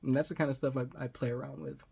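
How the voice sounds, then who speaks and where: Gravelly Texan man, rambling to camera. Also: mid-range voice, flat delivery, fast, noisy background. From Texas. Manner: rambling, self-deprecating, drops to near-whisper at times. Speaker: vlogger. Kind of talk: casual talk to camera. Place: small room at home with bookshelves. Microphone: phone or webcam close to face.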